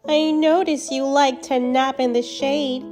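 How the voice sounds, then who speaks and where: A woman's voice: high voice, steady tone, measured pace, over music, pitched above the performer's own voice. A woman voicing a friendly, hammy old male ram in a storybook, speaking kindly and eagerly.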